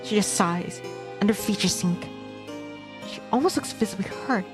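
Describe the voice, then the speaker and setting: A woman's voice: mid-range voice, moderate pace. Woman narrating a visual novel over music. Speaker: VTuber streamer reading a visual novel aloud. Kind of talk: dramatic first-person narration of a game script. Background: game soundtrack music.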